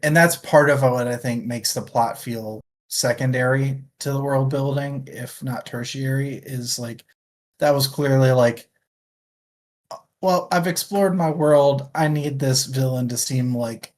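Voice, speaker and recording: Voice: medium pitch, fairly even intonation, a little slow. A podcast host chatting casually about books in a wry, hedging manner. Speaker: book discussion show host. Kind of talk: casual chat, book discussion.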